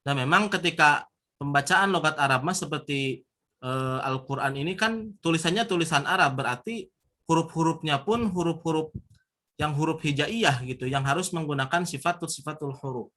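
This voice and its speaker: A man with a Sundanese accent teaching Quran recitation, sounding out syllables slowly and firmly and repeating them. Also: on the high side, bright, forceful tone. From West Java. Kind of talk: recitation lesson.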